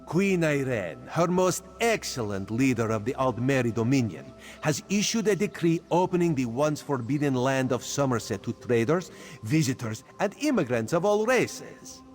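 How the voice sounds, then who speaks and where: Man doing a playful, secretive cat-man spy for a game, lively sing-song delivery, speaks of himself in third person, faint music behind. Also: mid-range voice, moderate pace, close to an everyday voice. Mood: sly. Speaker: spymaster quest giver. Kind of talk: video game character dialogue.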